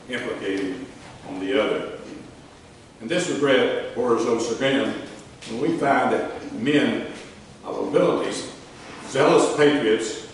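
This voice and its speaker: Male reader as grave, courteous statesman, measured formal letter delivery, stage reading; distant, reverberant hall. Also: medium pitch, close to an everyday voice. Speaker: amateur actor in a dramatic reading. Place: museum room, live community theatre event. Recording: echoey hall from far off, faint noise.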